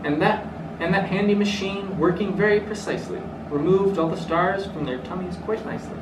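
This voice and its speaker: A man's voice: high-pitched, fairly quick. A young man reading a rhyming children's storybook aloud. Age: young adult. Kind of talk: storybook read-aloud in rhyme. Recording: roomy, distant mic, clearly noisy.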